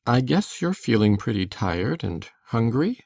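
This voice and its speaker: Storybook old farmer , voiced by a woman: gruff, gravelly, animated pitch, shy and slow to find his words. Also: full character voice. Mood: gentle, awkward. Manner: hesitant, plain-spoken. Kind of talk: dramatic audiobook reading.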